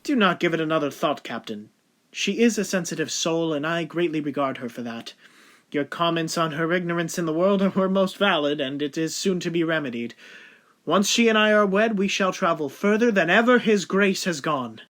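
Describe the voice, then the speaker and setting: A man's voice: fairly high pitch, expressive, moderate pace, fairly bright tone. A man playing a courteous, bashful, lovestruck young lieutenant in a period audio drama. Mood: gracious, bashful. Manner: courteous, formal. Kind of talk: period stage-play audio drama acting.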